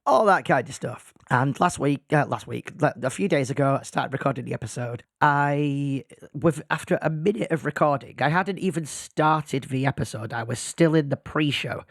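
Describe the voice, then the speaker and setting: A man's voice: fairly high pitch, normal speed, creaky, no room sound, studio quality. A man who is losing his voice, hosting a casual solo podcast in a self-deprecating, rambling way. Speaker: podcast host. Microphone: podcast microphone.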